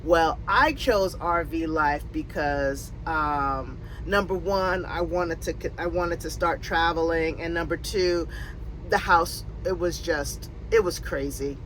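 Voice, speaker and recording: A woman's voice: low voice, takes it slowly, a bit bright, slight background noise, low-quality recording. A woman in her fifties from Connecticut, casually answering viewer questions on her van-life vlog, rambling with many fillers and restarts. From Connecticut, USA. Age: 50s. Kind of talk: casual Q&A chat. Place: in or near her RV.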